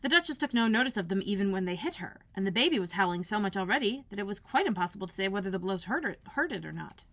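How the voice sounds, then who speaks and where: A woman's voice: medium pitch, animated, quick pace, hardly any pauses, a bit bright. Woman reading a children's story aloud. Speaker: elementary school librarian reading aloud. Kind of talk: expressive children's storybook narration.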